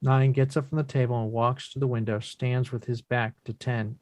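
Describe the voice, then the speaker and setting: A man reading stage directions from a play as measured, descriptive scripted narration. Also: mid-range voice, little pitch movement, no room sound.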